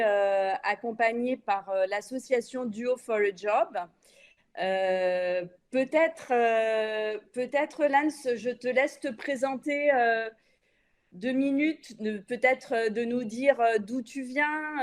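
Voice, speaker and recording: A woman moderating a conference panel, speaking in a halting way as she searches for her phrasing. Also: fairly high pitch.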